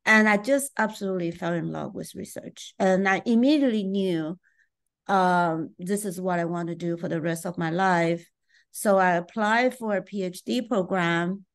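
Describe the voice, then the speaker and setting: A middle-aged woman from Taiwan, a scientist guest on a video call, speaking thoughtfully and pausing with frequent ums. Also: mid-range voice. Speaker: neuroscientist guest. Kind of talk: interview over video call. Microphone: video call webcam.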